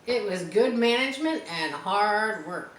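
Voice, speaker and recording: A woman voicing a brisk, forthright, no-nonsense mother goose in a storybook. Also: medium pitch, lively intonation, roomy sound, a little hiss or hum, natural voice. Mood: matter-of-fact.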